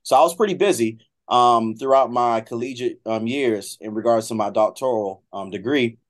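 A man's voice: medium pitch, normal speed. A middle-aged man with an American accent talking conversationally as an interview guest, often repeating and rephrasing as he goes. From Miami, United States. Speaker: guest, trombone professor.

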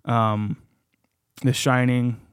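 A man's voice: mid-range voice, little pitch movement, extremely slow, clear, resonant tone, dry recording, studio quality. A man talking casually and jokingly about films as a podcast guest. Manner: informal, joking.

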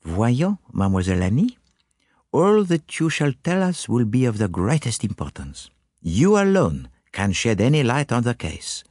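A courteous foreign detective, acted by a man in an audiobook. He is precise, formal and coaxing, with lilting, very lively intonation in a dry studio sound. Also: medium pitch, normal speed, no echo, pitched above the performer's own voice. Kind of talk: audiobook character voice.

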